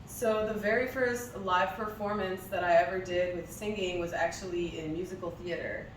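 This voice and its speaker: A young woman from New Jersey whose first language is Hebrew, chatting casually in an interview about her music, laughing often and filling pauses with ums. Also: fairly low pitch, steady tone, a little hiss or hum. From New Jersey, USA. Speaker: singer being interviewed. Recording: echoey room, voice from a distance.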